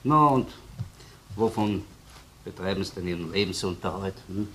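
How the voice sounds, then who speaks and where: An actor playing a courteous, dryly persistent police investigator in a German radio crime drama, questioning with little hums and tag words. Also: male voice, deep voice, moderate pace, fairly bright tone. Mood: probing, polite. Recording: noisy old radio play recording.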